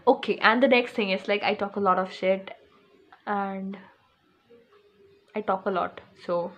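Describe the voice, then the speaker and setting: A woman's voice: mid-range voice, steady tone, measured pace, slight background noise. A teenage girl with an Indian English accent chatting into her phone camera for her first vlog, rambling with lots of fillers and restarts, indoors in a small room at home. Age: teens. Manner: rambling, chatty, with many fillers and self-corrections. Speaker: vlogger. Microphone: phone camera held close.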